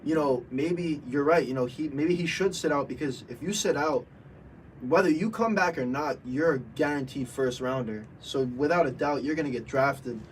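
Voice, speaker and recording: A man co-hosting a casual sports debate, agreeing often and weighing both sides calmly. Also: on the high side, normal speed, far from the mic, a little hiss or hum, rough recording. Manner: agreeable, frequent backchannels. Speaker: co-host.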